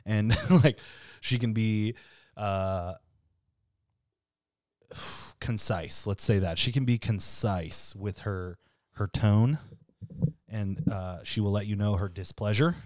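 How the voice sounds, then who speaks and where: A man in his thirties with a deep voice, hosting a casual podcast chat in a relaxed, freely swearing way. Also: slow, long pauses, no echo, clean studio sound. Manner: laid-back, swears freely, laughs often. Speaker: podcast host.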